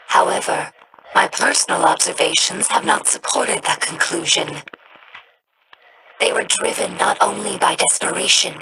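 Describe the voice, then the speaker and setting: A gravelly young alien scholar. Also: female voice, on the low side, noisy background. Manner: slow, measured, solemn delivery. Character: young female alien scholar, earnest and reflective. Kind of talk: game character dialogue.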